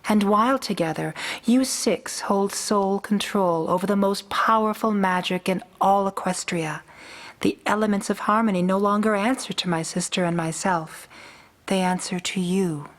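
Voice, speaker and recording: An ancient pony princess, acted by a woman, regal and solemn. She speaks in measured, formal phrases in a middle register, as in a fan-made radio play. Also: medium pitch, natural voice. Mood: gracious, wise. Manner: formal, measured, grave diction.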